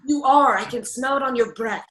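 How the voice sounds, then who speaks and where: A woman's voice: a little high, moderate pace, roomy sound, a little hiss or hum, natural voice. A woman playing a young fairy tale girl, sweet yet no-nonsense, in a comic radio play. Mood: exasperated.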